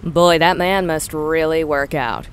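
A woman's voice: low voice, steady tone, measured pace, clean tone. A woman voicing a friendly, easygoing male bar attendant in a space audio drama. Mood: impressed.

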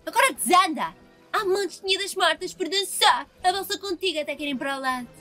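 Cartoon fan dub: woman as spoiled rich belle, very lively swooping delivery, theatrical. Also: very high voice, brisk, soft background music, full character voice. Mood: bossy. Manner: gushing, theatrical, giggly. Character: spoiled bubbly young Southern belle heiress. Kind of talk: fan dub of animated film.

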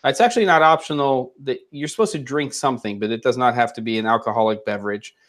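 A man in his forties hosting a relaxed, joking chat over a video call from a room at home. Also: medium pitch, quick pace. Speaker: host. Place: home room with curtains and lamp.

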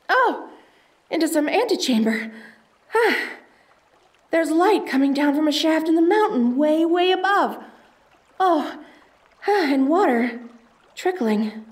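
A woman's voice: sits high, lively intonation, slow, pauses often. An actress playing a determined young woman treasure hunter in an audio drama, talking to herself in a dramatic way. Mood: straining, anxious, then curious, wondering. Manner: breathy exclamations, self-coaxing, dramatic. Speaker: audio roleplay voice actor.

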